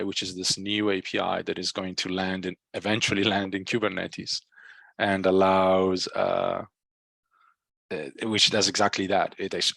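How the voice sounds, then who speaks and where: A man giving an informal technical status update on an online meeting call, explaining things haltingly with frequent ums and pauses. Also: deep voice, creaky, fairly bright tone, dry recording. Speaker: software working group member giving a project update. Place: online meeting call, dry close sound.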